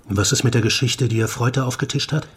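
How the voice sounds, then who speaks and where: An actor playing a brisk male investigator in a radio play, putting terse questions to a colleague. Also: medium pitch, steady tone, a bit bright, husky. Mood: brisk, curious.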